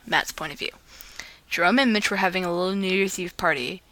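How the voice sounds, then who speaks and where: A woman's voice: fairly low pitch, fairly quick, sharp tone, a little hiss or hum. A woman reading fan fiction aloud as a video host, playfully acting out the dialogue.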